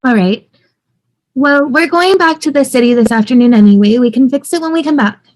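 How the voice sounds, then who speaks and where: A high-pitched young wife played by a woman at a table read. She is earnest, practical and persuasive, talking in a natural conversational way on a video call. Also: expressive, a little fast, a little mellow.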